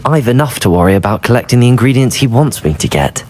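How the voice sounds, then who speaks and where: Man as a polite, expressive British student. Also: medium pitch, fast, a little hiss or hum, natural voice. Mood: guarded, polite. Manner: courteous, earnest. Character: polite, level-headed teenage male student. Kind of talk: video game character acting.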